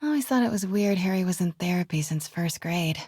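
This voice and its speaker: A woman playing a wry, curious, quick-witted young woman in a video game, muttering to herself as she sneaks around, with a breathy voice. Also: on the low side, animated, no room sound, clean studio sound. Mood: quiet, reflective. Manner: wry, hushed self-talk.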